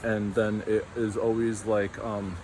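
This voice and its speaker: A man's voice: on the low side, flat delivery, unhurried, background noise. A young man rambling through a casual, tangential monologue full of fillers, recorded outdoors. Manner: meandering, tangential, many fillers. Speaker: vlogger.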